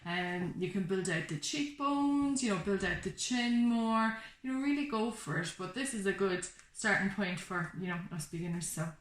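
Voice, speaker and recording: A woman's voice: medium pitch, expressive, moderate pace, few pauses. A woman giving a casual, hands-on craft tutorial, explaining each step in an informal, reassuring way. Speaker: craft workshop instructor. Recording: echoey room with background noise, clicks.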